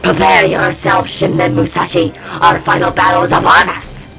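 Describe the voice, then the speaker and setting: A woman voicing a solemn, grandiose male villain summoner in a game, with a gravelly, incantatory delivery. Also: fairly low pitch, very animated, normal speed, sharp tone, faint music behind, exaggerated voice, low-quality recording. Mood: ominous, solemn. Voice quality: gravelly, creaky. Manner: incantatory, declaiming.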